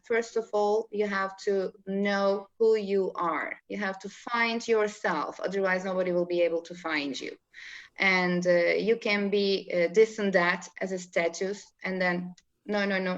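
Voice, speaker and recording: Slightly dull, lo-fi video call sound carries a woman with a Turkish accent and a middling pitch. She explains like a coach in a live interview, animated, with halting non-native English phrasing. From Istanbul, Turkey. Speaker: spiritual coach, interview guest.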